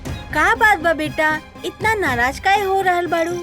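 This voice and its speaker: A woman playing a caring, practical mother in an animated cartoon, coaxing and matter-of-fact, with a Bhojpuri accent. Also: very high voice, a little slow, faint music behind, exaggerated voice. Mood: concerned.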